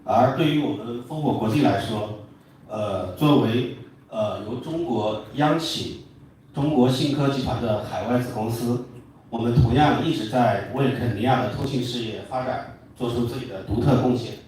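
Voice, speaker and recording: A man's voice: mid-range voice, a little mellow. Male Chinese company speaker, hesitant and formal, far from mic with faint noise. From China. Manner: halting, hesitant delivery. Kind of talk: formal speech. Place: large indoor hotel event room. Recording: very echoey hall, distant mic, faint noise.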